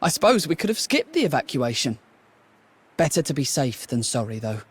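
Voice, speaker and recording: A man's voice: medium pitch, big pitch swings, a little fast, slightly rough. A man playing a thoughtful, questioning young warrior companion in a video game, polite and reflective. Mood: sincere. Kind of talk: video game cutscene acting.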